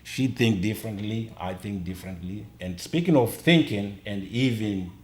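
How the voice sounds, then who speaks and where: A man's voice: on the low side, moderate pace, reverberant. A middle-aged man from Zimbabwe, talking earnestly as a podcast guest and repeating key phrases for emphasis. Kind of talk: casual conversation.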